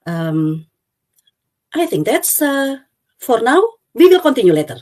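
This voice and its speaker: A woman's voice: a little high, sing-song, a little slow, darkish tone. A woman from Indonesia introducing herself on a video call, hesitant with many uhs. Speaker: IT consultant, guest. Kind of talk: casual self-introduction.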